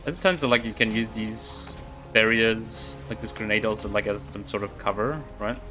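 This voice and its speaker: A man's voice: on the low side, steady tone, moderate pace, over music. A man giving casual, rambling live gameplay commentary as a game streamer, easily impressed by what happens.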